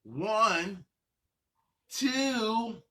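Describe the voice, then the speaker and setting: A man hosting a children's session, guiding movement with encouraging, drawn-out instructions. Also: high-pitched, expressive, very noisy. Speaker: children's show host leading warm-up exercises.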